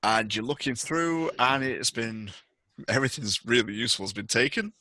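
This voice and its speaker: A man's voice: medium pitch, moderate pace, sharp tone, no room sound. A man acting as game master, narrating a tabletop roleplaying game in conversation with players.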